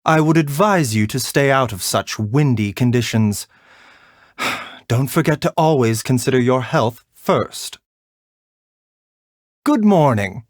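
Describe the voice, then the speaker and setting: An actor playing a gentle, composed herbal doctor in a video game, polite and measured in manner. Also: male voice, medium pitch, expressive, no room sound, clean studio sound. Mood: courteous. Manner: soft-spoken, polite, measured, bedside courtesy. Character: gentle, courteous, composed male herbal doctor, frail yet unhurried. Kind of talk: video game character voice lines.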